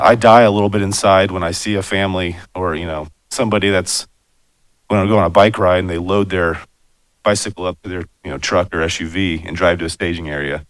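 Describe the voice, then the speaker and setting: A man with a raspy voice, hoarse from a cold, presenting a plan to a city commission in a loose, conversational way with lots of fillers. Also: deep voice, no room sound. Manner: conversational, many fillers and asides. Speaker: bicycle and pedestrian planner presenting. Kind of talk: public meeting presentation.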